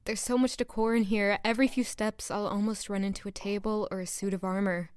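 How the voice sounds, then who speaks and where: An actress playing an earnest, nervous young palace maid who narrates and speaks in a first-person audio drama. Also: female voice, medium pitch, little pitch movement, a little fast, a little mellow, smooth, close to an everyday voice. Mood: curious.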